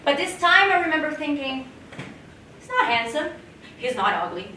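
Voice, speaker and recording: Lively high-voiced woman telling a stage anecdote. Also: moderate pace, a little hiss or hum. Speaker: stage storyteller. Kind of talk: live theatrical anecdote. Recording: echoey stage from far off with music.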